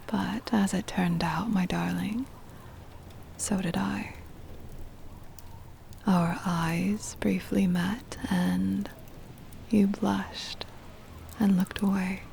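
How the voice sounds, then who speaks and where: A woman voicing a centuries-old possessive, doting vampire mistress in an intimate ASMR roleplay, whispery and breathy, with tender, formal old-fashioned phrasing. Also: mid-range voice, mellow, a little hiss or hum, full character voice. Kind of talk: intimate ASMR roleplay, scripted dramatic monologue.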